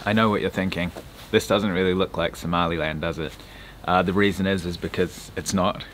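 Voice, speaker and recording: A man's voice: deep voice, brisk. A young man talking casually to a handheld camera as a travel vlogger, with easy "uh" fillers. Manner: relaxed, chatty, frequent "uh" fillers. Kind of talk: casual travel vlog narration. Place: outdoors, street and countryside. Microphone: handheld camera at arm's length. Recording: loud background noise.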